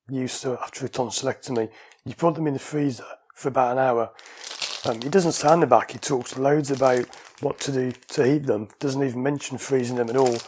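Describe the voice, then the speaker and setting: UK man, husky, mid pitch, casual rambling vlogger who keeps correcting himself. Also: a little mellow. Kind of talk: casual talking-to-camera vlog.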